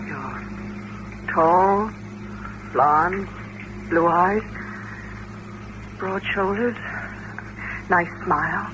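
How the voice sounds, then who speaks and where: A woman's voice: lowish voice, extremely slow, long pauses, lo-fi sound. An actress in a 1950s radio drama playing a naive young female chorus dancer. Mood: curious, friendly. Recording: noisy, band-limited vintage radio recording.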